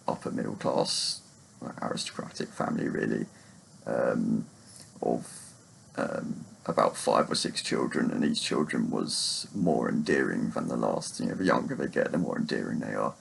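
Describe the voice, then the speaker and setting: A man with a gravelly, husky voice, chatting casually about books in a rambling, hesitant, self-deprecating way. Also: extremely low pitch, very animated, normal speed, a little hiss or hum. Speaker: book vlogger.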